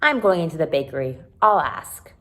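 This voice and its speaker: A woman's voice: sits low, sing-song, normal speed. A woman voicing an earnest young girl in a storybook, speaking in an emphatic, coaxing way. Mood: matter-of-fact. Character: earnest, reassuring young girl companion, always eager.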